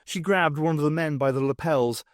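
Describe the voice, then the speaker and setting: A man's voice: on the high side, normal speed, no echo, clean studio sound. A man reading scripted dramatic narration of a science fiction story as an audiobook narrator. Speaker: audiobook reader.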